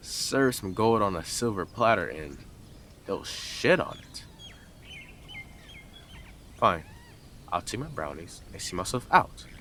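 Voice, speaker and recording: A man's voice: lowish voice, very animated, slow pace, long pauses, sharp tone, slight background noise, heavily acted. A man playing a blunt, sarcastic, teasing stalker who is devoted to the listener in an intimate roleplay audio drama. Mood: offended, huffy.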